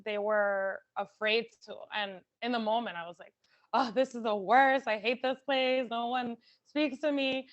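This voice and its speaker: A woman's voice: mid-range voice, a bit bright, lo-fi sound. A woman telling a story as a panelist, chatty and giggly with many fillers.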